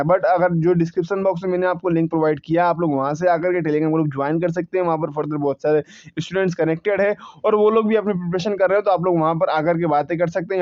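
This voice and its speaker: Young guy w/ high voice talking thru exam cutoffs, lots of restarts, kinda muffled. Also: dry recording. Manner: conversational, frequent fillers and restarts, repeats figures. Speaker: exam coaching channel host. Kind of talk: informal exam analysis talk to viewers.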